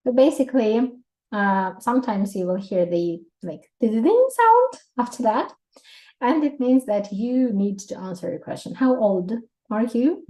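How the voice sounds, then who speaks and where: A woman in her thirties with a Russian accent teaches an exam prep lesson. Her voice is mellow and warm, and she explains in a lively way, with examples and easy laughs. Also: fairly high pitch, a little slow, low-quality recording. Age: 30s. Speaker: English teacher.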